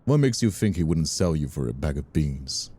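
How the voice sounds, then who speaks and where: An actor playing a menacing, condescending mobster villain in an audio roleplay, taunting with a drawl. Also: male voice, very deep voice, sing-song, moderate pace, dark tone, full character voice. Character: menacing, mocking ambitious mobster villain, adult man. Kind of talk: dramatic audio roleplay acting.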